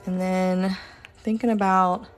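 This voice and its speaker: A woman casually chatting during a live stream while she paints, rambling with lots of fillers and sometimes talking softly. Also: mid-range voice, slow, music in the background. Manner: says she is relaxed, talks softly at times.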